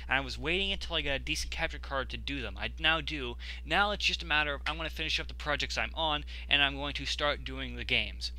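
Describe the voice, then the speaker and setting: A man's voice: medium pitch, sharp tone, poor audio. A young man giving casual, rambling video commentary as an enthusiastic gaming fan. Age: young adult.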